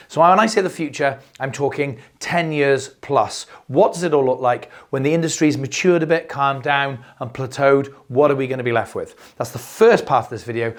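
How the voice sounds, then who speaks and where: A middle-aged man hosting a crypto video, talking off the cuff as he loses his voice, rambling and repeating words with many fillers. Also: mid-range voice. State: losing his voice. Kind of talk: unscripted explainer monologue.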